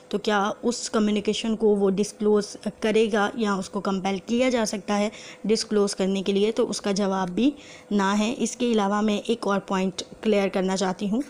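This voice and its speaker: A woman's voice: mid-range voice, darkish tone, slight background noise. A woman with a Pakistani accent teaching in a methodical, explanatory lecture style, reading out and translating formal text. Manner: methodical, slow and deliberate when reading quoted text. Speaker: law lecturer.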